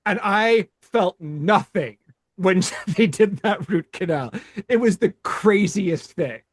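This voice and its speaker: Guy cohosting a podcast, kinda high voice, slow and laughing thru his story, dry close sound. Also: animated, dry recording. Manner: laughs while telling stories, halting and profane. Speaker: podcast co-host. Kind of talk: casual chat.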